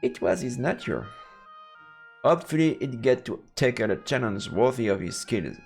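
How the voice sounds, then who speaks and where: A man's voice: mid-range voice, animated, normal speed, gravelly, a little mellow. A man streaming a let's play, reading game text aloud and dropping in playful murmured interjections. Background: game music.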